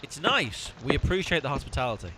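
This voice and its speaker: An actor playing the exasperated, sensible half of a comic duo in an amateur audio drama, bossy and long-suffering. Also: male voice, mid-range voice, big pitch swings, fast talker, noisy background, natural voice. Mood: resigned.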